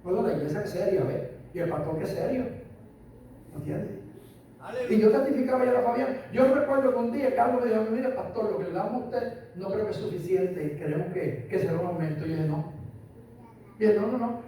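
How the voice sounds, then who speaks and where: Older Puerto Rican preacher, high and mellow. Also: male voice, fairly quick. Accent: Puerto Rican Spanish. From Puerto Rico. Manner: emphatic, repeats phrases, asks listeners "¿entiendes?". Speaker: pastor. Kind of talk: sermon. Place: church hall. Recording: echoey hall, voice from far off, faint room noise.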